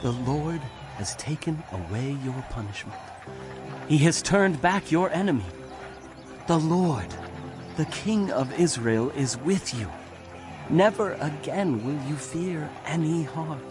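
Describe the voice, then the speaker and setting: An actor playing a grave prophet, narrating scripture dramatically in a solemn, declamatory way. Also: male voice, a little high, animated, slow, music in the background. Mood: exultant. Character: grave male prophet proclaiming doom and hope.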